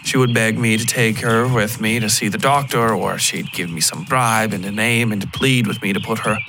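A man narrating a scripted first-person story in a measured, literary storytelling style. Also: fairly low pitch, steady tone, noisy background. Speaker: fiction podcast narrator.

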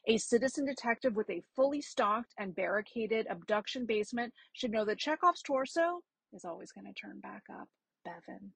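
A woman's voice: medium pitch, animated, moderate pace, vocal fry, breathy edge. A woman guest reader giving a dramatic reading of a listener's email.

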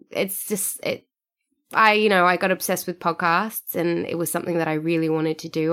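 An Australian woman, a writer and performer, chatting enthusiastically as a podcast guest. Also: fairly low pitch. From Australia (Sydney). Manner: chatty and enthusiastic, often laughing. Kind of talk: casual podcast interview.

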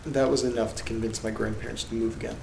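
A man acting in a horror audio drama as an uneasy letter reader, telling things in a subdued, measured way with dramatic pauses. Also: on the low side, level tone, creaky. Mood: somber, ominous. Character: uneasy man reading creepy letters aloud alone. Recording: roomy sound with rain and noise. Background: rain and thunder ambience.

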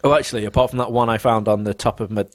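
A man co-hosting a casual chat, talking animatedly with sharp jumps in pitch and some swearing. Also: steady tone, very fast, dry recording, clean studio sound. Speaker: podcast co-host.

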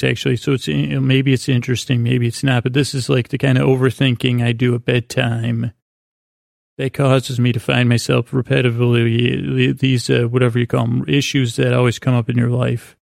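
A man hosting a sleep podcast in a creaky, gravelly voice, meandering through a bedtime monologue with halting pauses and false starts. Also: mid-range voice, little pitch movement, dry recording, clean studio sound.

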